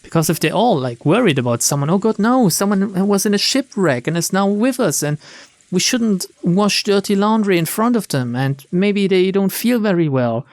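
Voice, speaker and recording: A man's voice: high-pitched, expressive, normal speed, darkish tone, dry recording. A man reading game narration aloud and adding casual, wry commentary, informal in manner. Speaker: video game streamer, narrator.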